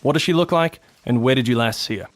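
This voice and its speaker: A man playing a hard-boiled, world-weary police detective in a noir radio drama, terse and deadpan. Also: mid-range voice, fast, no echo, close to an everyday voice. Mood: businesslike.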